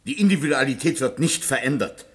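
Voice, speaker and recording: An actor playing a clinical, authoritative scientist in a science fiction radio play, matter-of-fact in manner. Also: male voice, mid-range voice, expressive, brisk, a bit bright, close to an everyday voice.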